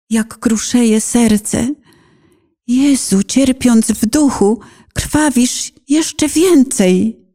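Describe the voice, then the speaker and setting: A woman recites religious verse in a soft, mellow voice. She moves very slowly and solemnly, with fervent dramatic phrasing. Her tone is breathy, slipping toward hushed delivery. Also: mid-range voice. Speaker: narrator and reciter. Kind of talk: poetic religious recitation, radio-play meditation.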